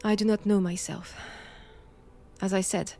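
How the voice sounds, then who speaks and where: Slow, stern French-accented nun, played by a woman. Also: medium pitch, level tone, warm tone, close to an everyday voice. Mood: clinical, measured. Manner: slow, deliberate, formal, commanding. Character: stern, righteous vampire-hunting nun, cold, commanding interrogator. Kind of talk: dramatic roleplay audio acting.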